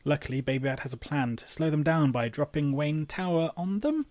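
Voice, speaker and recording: A man reading a scripted episode recap with sardonic, deadpan asides. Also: fairly high pitch, fairly quick, no echo.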